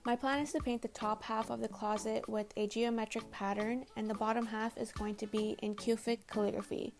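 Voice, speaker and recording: A woman's voice: fairly high pitch, level tone, fairly quick, darkish tone. A woman whose first language is Tamil gives a casual, chatty, self-deprecating voice-over for a home makeover video. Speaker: DIY home makeover vlogger. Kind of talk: scripted voice-over narration. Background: faint background music.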